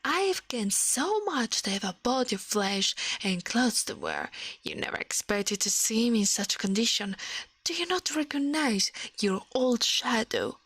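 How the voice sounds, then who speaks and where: A woman voicing an elegant, self-assured shadow gentleman from a storybook, formal and boastful, in a soft breathy voice. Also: a little high, big pitch swings, a little slow, no room sound, full character voice.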